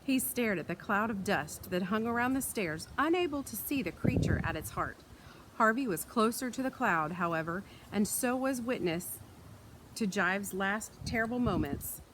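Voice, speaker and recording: A woman reading a children's book aloud in an expressive storytelling style, recorded outdoors. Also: mid-range voice, moderate pace. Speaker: read-aloud reader. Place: outdoors in wind. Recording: light outdoor wind and ambient noise.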